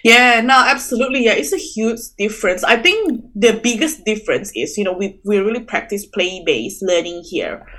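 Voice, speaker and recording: A woman's voice: mid-range voice, lively intonation, moderate pace, fairly bright tone. A young woman from Indonesia, an early childhood teacher, talking earnestly in a casual interview with lots of "you know" fillers.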